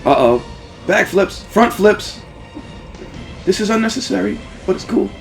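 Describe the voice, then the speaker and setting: A man giving casual, rambling, profanity-laced gaming commentary with excitable fan talk. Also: sits high, measured pace, creaky, faint music behind. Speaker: let's play host.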